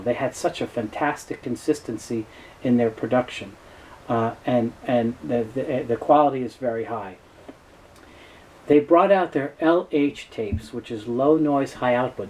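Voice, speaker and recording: A man with a soft, mellow voice and medium pitch presents a product review off the cuff. He pauses often and feels his way through the explanation. A light background noise sits under his voice. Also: poor audio. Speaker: presenter of a tape review video. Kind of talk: informal product explainer, unscripted.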